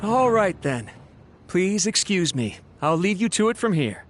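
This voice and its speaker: Eager, high-voiced young retainer. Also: male voice, big pitch swings, a little slow. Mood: deferential. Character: eager, deferential young male clan retainer. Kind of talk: scripted video game dialogue. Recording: prominent music behind.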